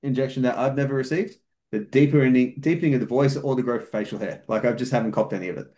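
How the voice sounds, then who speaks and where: A man's voice: medium pitch, fast talker, dark tone. A man speaking as a podcast guest in a casual chat, explaining things methodically at length, with fillers and restarts. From outside New Zealand. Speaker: podcast guest, fitness coach.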